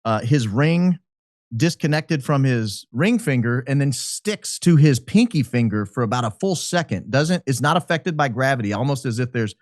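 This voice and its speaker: A man speaking as a podcast guest, giving speculative commentary in an emphatic, deliberate way. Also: mid-range voice, expressive, dry recording, clean studio sound. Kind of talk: speculative commentary in a talk show.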